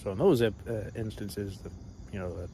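A man in his thirties rambling hesitantly to a camera held close below his face, with plenty of fillers and trailing thoughts. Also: normal speed. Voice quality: fairly deep voice. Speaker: vlogger. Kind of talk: rambling casual monologue. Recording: loud cricket noise behind voice. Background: crickets and insects at night.

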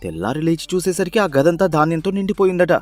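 A man's voice: a little high, lively intonation, fast talker, darkish tone, no echo, soft background music, natural voice. Man playing an earnest, coaxing young son. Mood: persuasive. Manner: respectful, persuasive. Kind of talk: dramatised moral story for cartoon.

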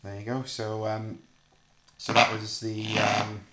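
A middle-aged British man casually demonstrating a homemade device in a hesitant, halting, unscripted way. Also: on the low side, monotone. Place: small hard-walled room indoors. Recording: echoey room, clicks and faint hiss.

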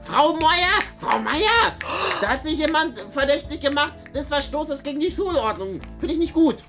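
Officious schoolboy snitch played by a man, pitched up, pedantic and halting, for a comedy sketch, music behind. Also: expressive. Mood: tattling. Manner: stammering, pedantic. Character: prissy tattletale schoolboy, officious rule-quoter.

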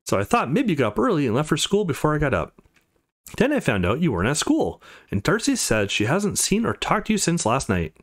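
A man's voice: on the high side, huge pitch swings, a little fast, voice pushed up. A man voicing a worried, caring, motherly woman in a visual novel, speaking earnestly and affectionately.

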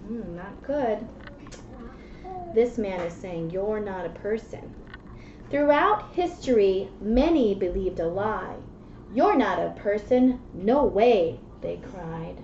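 A woman's voice: mid-range voice, animated, slow pace, a little mellow. A woman in her thirties reading and explaining to a small child in simple language, often checking in with questions. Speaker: mother and children's read-aloud presenter. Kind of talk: reading a picture book aloud and chatting to a small child. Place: small classroom, indoors. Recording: muffled, echoey webcam audio with noise.

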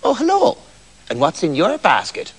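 A man voicing a mysterious, dirty little man from a fairy tale, courteous and measured. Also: sits high, wildly swooping pitch, husky, full character voice. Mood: friendly.

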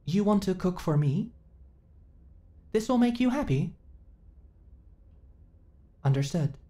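A woman's voice: fairly low pitch, animated, slow pace, mellow. A woman playing an earnest, naive companion android in a sci-fi ASMR roleplay, speaking in stilted, formal, literal phrases. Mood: earnest, eager.

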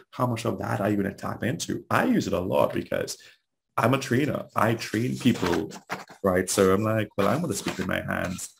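A man's voice: lowish voice, vocal fry, slight background noise. A man from Trinidad with a Trinidadian accent, giving a conversational webinar talk as a trainer and asking rhetorical questions.

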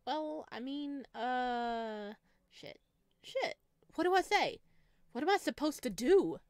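A woman streaming a visual novel, reading aloud and reacting in a playful, dramatic, expressive way. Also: high voice, slow pace, clean tone, no echo, studio quality. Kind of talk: let's play, reading game narration aloud with reactions. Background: game music.

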